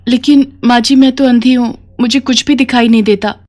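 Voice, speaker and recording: A woman's voice: fairly high pitch, steady tone, moderate pace, darkish tone, pitched above the performer's own voice, natural voice. A woman voicing a meek, anxious young blind bride in a moral story, pleading politely.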